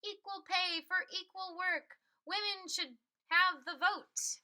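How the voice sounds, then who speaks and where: A woman's voice: extremely high pitch, takes it slowly, sharp tone, pitched above the performer's own voice, heavily acted. A woman reading a storybook and voicing a bold, determined woman crusader with a firm, declarative, oratorical manner.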